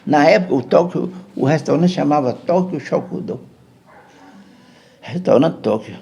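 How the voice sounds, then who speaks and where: Brazilian guy rambling thru a story on a podcast, keeps saying né, voice goes up and down a lot, kinda rough. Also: on the high side, animated, moderate pace, dark tone. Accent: Brazilian Portuguese. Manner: chatty, keeps checking in with "né?". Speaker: podcast guest.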